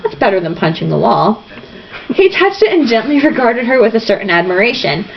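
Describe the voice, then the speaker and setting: A woman's voice: fairly high pitch, sing-song, fairly quick, vocal fry. A woman reading a novel aloud informally, theatrical and irreverent, with asides. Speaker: reader. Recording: dull lo-fi home recording, faint noise.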